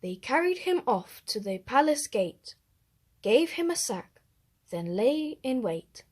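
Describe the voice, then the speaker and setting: A woman with a fairly high voice reads a children's picture book aloud. She is expressive and deliberate, leaning on the rhymes as a storyteller.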